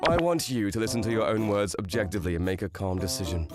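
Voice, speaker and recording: A man playing a calm, measured lawyer in a manga dub. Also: on the low side, over music.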